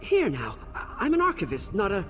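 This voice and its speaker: Nervy archivist in a fantasy game, kinda high voice, earnest n flustered, music behind. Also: male voice, wildly swooping pitch, fairly quick, a bit bright, full character voice. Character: anxious, bookish male archivist, scholarly and flustered. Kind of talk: video game character dialogue.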